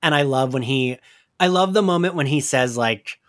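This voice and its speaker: A man's voice: mid-range voice, moderate pace, fairly bright tone. A man co-hosting a casual fan recap podcast in a chatty, gushing way. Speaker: podcast co-host.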